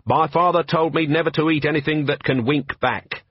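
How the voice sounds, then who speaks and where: A man's voice: fairly high pitch, steady tone, normal speed, no echo, lo-fi sound, voice pushed up, lightly acted. A man voicing a weary, sarcastic middle-aged police commander with a dry, sardonic delivery.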